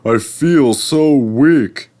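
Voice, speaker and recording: A proud storybook express locomotive voiced by a man talks in pompous, drawn-out exclamations. He is big, boastful and full of himself. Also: mid-range voice, dark tone, pitched above the performer's own voice. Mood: dismayed.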